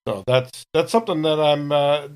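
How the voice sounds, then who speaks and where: A man's voice: a little high, normal speed, clear, resonant tone. A man chatting casually on a podcast about his projects. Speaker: podcast cast member and producer.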